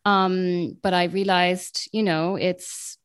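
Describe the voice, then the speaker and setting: A woman's voice: lowish voice, fairly even intonation, slow pace, clear, resonant tone, no echo, studio quality. Chatty woman in her late twenties. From born in Italy, raised in Italy, the US and Ireland. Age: late 20s. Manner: chatty, with frequent ums and self-corrections. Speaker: guest, translator and radio journalist. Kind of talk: casual interview answers.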